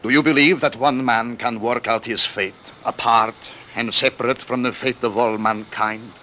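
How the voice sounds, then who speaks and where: Male actor as solemn council messenger, bright forceful tone, declamatory, vintage radio acting, slight noise. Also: medium pitch, fairly even intonation, moderate pace, close to an everyday voice. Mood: urgent, imploring. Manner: formal, urgent, declamatory. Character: solemn, urgent council envoy warning a leader. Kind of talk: old-time radio drama acting. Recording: faintly noisy vintage radio broadcast.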